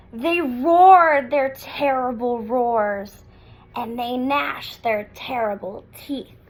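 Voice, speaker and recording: High-voiced woman reading a storybook slowly. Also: poor audio. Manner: deliberate, expressive storytelling with pauses. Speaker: picture book reader. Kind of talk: children's storybook read-aloud.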